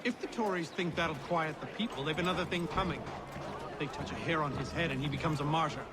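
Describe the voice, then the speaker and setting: A man's voice: fairly high pitch, fairly quick, heavy background noise, natural voice. A man acting a defiant, indignant colonial townsman in a video game. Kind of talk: video game ambient dialogue.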